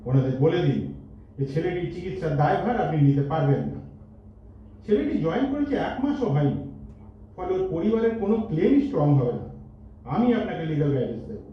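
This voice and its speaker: A cautious, persuasive company adviser, played by a man. His voice is high and swings widely in pitch, in stage drama style. It comes from afar in a very echoey hall. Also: very animated. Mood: cautioning. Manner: deliberate, persuasive, deferential. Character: pragmatic, cautious senior company legal adviser. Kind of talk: staged Bengali audio drama acting. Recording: echoey hall, distant mic, noisy, dull.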